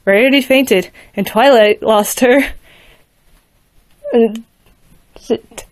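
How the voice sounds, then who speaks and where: A woman's voice: on the high side, dry recording. A woman casually reading a rhyming fan fiction story aloud, now and then stumbling and restarting a word. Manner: casual, slightly halting with restarts. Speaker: fanfiction reader.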